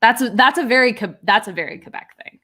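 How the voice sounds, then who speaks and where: A woman's voice: fairly high pitch, quick pace, pushed and bright. A young adult woman hosting a livestream, chatting casually and reading out viewer comments with plenty of fillers. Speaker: livestream host. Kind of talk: casual chat on a writing livestream.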